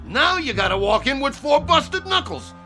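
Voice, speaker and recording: An anxious, loyal boxing cornerman in a radio play. His voice is high, bright and creaky, fretting and pleading over faint music. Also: big pitch swings, moderate pace, heavily acted. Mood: fretful, concerned. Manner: pleading, fretful. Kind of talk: radio drama acting.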